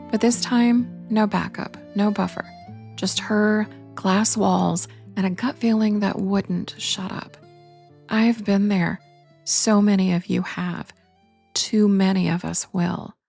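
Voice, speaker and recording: A woman's voice: mid-range voice, vocal fry, darkish tone. A woman podcast host reading scripted dramatic narration in short punchy phrases, addressing the listener directly.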